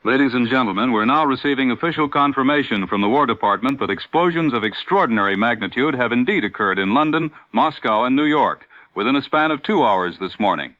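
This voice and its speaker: An actor playing a grave 1940s radio news anchor, delivering a formal, urgent bulletin. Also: male voice, mid-range voice, expressive, a little fast, dry recording, close to an everyday voice. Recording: dry, dull old radio broadcast sound.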